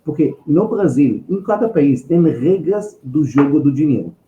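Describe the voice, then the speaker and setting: Male podcast guest with an Israeli accent: mellow tone, emphatic conversational delivery, recorded in a roomy space. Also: a little high, expressive, measured pace, muffled recording, a little hiss or hum. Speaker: guest finance expert. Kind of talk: conversational podcast storytelling and advice.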